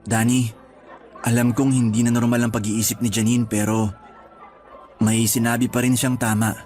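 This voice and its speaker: An actor plays a devoted male schoolteacher with a medium-pitched voice. He speaks in a steady, conversational way with some English mixed in, as in a romantic radio drama, over faint music. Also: normal speed, some chatter behind, close to an everyday voice. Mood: tender, sincere. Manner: conversational, mixing in English words. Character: steady, devoted male teacher courting his colleague. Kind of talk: Tagalog radio drama acting. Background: faint background music.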